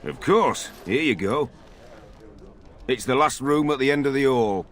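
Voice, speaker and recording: A man's voice: medium pitch, unhurried, natural voice. A man playing a brusque, businesslike innkeeper in a video game, speaking in a curt, matter-of-fact way.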